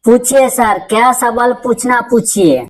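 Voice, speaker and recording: A woman voicing a dim but earnest schoolboy in a comedy cartoon, answering in a deliberate, reciting manner. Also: fairly high pitch, slight background noise, natural voice, poor audio. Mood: naive, deferential.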